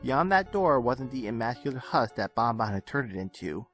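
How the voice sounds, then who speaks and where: A man reading a scripted fan fiction story aloud with expressive narration. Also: medium pitch, moderate pace. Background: soft game music.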